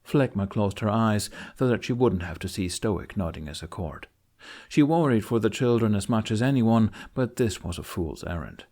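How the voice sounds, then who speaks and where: A man's voice: on the low side, expressive, normal speed, darkish tone. A man reading a fantasy audiobook as scripted narration.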